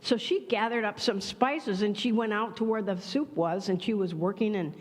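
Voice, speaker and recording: A woman telling a folk story at a church service, animated and expressive. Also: mid-range voice, moderate pace. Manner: animated, expressive, acting out voices. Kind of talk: storytelling for all ages. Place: church sanctuary. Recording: long church hall reverb.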